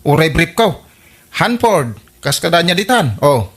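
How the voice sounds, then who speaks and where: A man's voice: fairly high pitch, sing-song, slow, slightly rough, heavily acted. A man with an Ilocano accent playing a boastful, lovesick young suitor in a radio comedy drama. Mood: boastful, puzzled.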